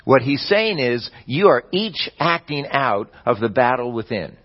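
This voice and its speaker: A man preaching a sermon, explaining scripture in a measured teaching manner with the odd restart. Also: mid-range voice, sing-song, dull audio. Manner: measured teaching delivery with occasional slips and restarts.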